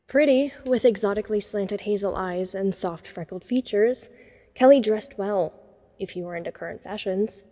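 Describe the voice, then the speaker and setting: A woman's voice: mid-range voice, moderate pace, warm tone, close to an everyday voice. A woman playing a self-conscious, wryly observant nerdy teenage girl who narrates a first-person audio drama. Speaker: narrator and lead character. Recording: long reverb on the voice.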